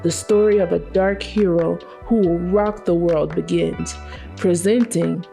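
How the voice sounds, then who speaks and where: A woman's voice: on the low side, steady tone, a little slow, creaky, mellow, faint music behind. A woman reading scripted, dramatic introductory narration for a motion comic.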